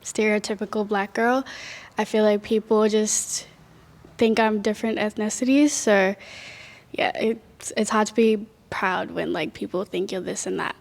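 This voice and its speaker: Hesitant young woman, flat delivery. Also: mid-range voice, unhurried, vocal fry. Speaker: panel guest. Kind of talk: casual discussion. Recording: long reverb.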